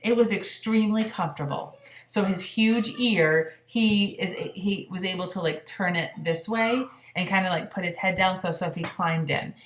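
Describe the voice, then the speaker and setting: A woman reading a children's story aloud, pausing for casual explanatory asides to young listeners. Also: mid-range voice. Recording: echoey room.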